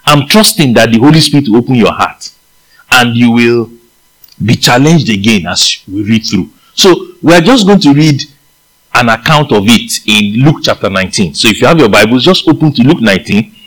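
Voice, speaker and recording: A man's voice: normal speed, poor audio. A man with a Nigerian accent preaching a sermon, reading scripture aloud and asking his listeners questions. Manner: animated, leaps in pitch for emphasis, asks the listeners questions.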